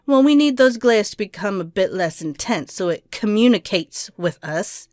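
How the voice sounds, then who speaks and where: A woman's voice: mid-range voice, lively intonation, normal speed, a little mellow, no room sound, studio quality, natural voice. An actor playing a cold, impatient woman doctor in an audio drama, curt, clinical and scheming. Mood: cold, calculating.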